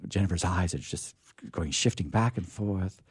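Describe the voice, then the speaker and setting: A man hosting a radio show, chatting and reading aloud in a rambling, halting way, often repeating words. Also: sits low, slightly rough, dry recording. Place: radio studio. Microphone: studio microphone.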